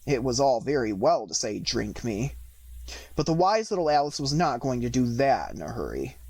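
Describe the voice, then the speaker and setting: A man narrating a children's storybook as an expressive audiobook reader. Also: medium pitch, a little fast.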